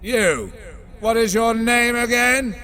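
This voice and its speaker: Scornful god librarian, very high male voice, unhurried, pompous, irritable, motion comic drama. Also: bright, forceful tone, full character voice. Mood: imperious. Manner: haughty, sneering, impatient.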